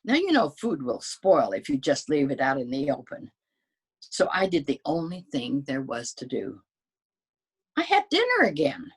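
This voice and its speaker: Woman as a low, husky, wily storybook wolf. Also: wildly swooping pitch, normal speed, full character voice. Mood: matter-of-fact, sly. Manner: folksy, self-justifying storytelling. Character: wily male wolf pleading his innocence. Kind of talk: storybook character narration.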